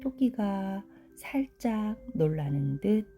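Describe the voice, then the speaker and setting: A woman tells a children's bedtime story, slowly and very softly. Her voice is very dark and mellow, gentle and soothing, with a melodic rise and fall. Faint music plays behind her. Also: mid-range voice, lively intonation. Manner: gentle, hushed, soothing, drawn-out delivery.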